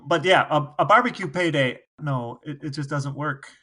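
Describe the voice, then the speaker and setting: A man's voice: on the high side, normal speed, bright, forceful tone. A man co-hosting a podcast, chatting casually and giving his opinions. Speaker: podcast co-host.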